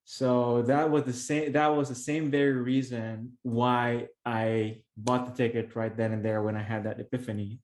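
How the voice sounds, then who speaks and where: A man's voice: mid-range voice, flat delivery, normal speed, hardly any pauses, a little mellow. Earnest Filipino man, echoey room. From Philippines. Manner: earnest, many restarts and fillers. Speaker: podcast guest, real estate investor. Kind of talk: conversational interview storytelling.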